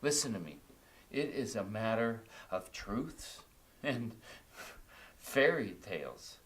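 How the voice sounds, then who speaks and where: An actor playing a drunk, grieving widower who rambles and stammers bitterly in a film drama. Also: male voice, fairly low pitch, very animated, slow, fairly bright tone, full character voice. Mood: ranting, conspiratorial. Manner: rambling, combative, stammering venting. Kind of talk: naturalistic film drama dialogue.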